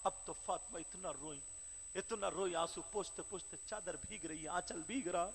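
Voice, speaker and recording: A man preaching a devotional sermon, telling the story with impassioned shouting and chanted, sing-song phrases. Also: high voice, a little hiss or hum. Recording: reverberant hall with faint crowd.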